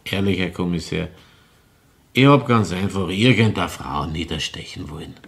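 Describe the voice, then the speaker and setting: An actor playing a bitter, woman-hating killer in a crime radio drama, confessing deliberately in Viennese dialect. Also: deep voice, gravelly. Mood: matter-of-fact, cold. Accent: Viennese Austrian. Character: confessing opera-house murderer, brooding, bitter woman-hater in his thirties.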